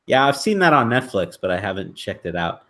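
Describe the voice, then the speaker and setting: A man chatting casually as a guest on a livestream, easygoing and quick to laugh. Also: on the low side, very animated, sharp tone. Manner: laughs often, easygoing, short replies. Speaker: guest YouTuber. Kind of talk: casual interview chat.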